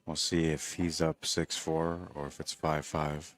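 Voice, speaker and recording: A man giving relaxed, conversational live commentary on a pool match, talking quietly and low. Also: a little slow.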